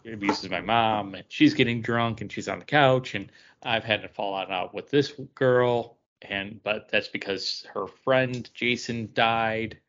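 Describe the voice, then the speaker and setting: Bright-voiced man rambling on a lo-fi podcast. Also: on the low side. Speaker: podcast co-host. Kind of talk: casual comic book review chat.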